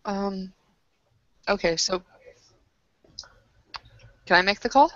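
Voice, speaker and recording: A woman's voice: medium pitch, takes it slowly, a bit bright, no echo. A woman taking part in a tabletop roleplaying game, casually narrating her character in hesitant, piecemeal phrases. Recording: dry, slightly muffled voice-chat audio.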